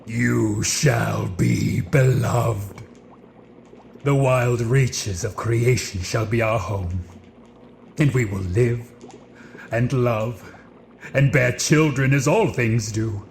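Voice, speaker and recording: An actor playing a brooding, menacing monster who longs for love, speaking solemnly and commandingly in an audio drama. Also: male voice, mid-range voice, takes it slowly, creaky, pauses often, slight background noise. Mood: yearning, solemn.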